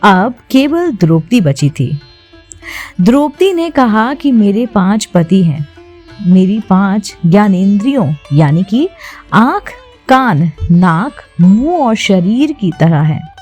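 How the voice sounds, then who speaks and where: A woman's voice: mid-range voice, very animated, takes it slowly, a little mellow, husky. A woman narrator telling a scripted mythological story in a storytelling style. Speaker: narrator of a devotional story channel. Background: plucked-string instrumental music.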